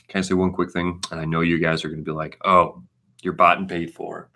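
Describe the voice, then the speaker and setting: A man in his thirties co-hosting a casual live question-and-answer chat, talking in an animated, emphatic, informal way. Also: low voice, brisk. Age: 30s. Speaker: co-host of a trade channel.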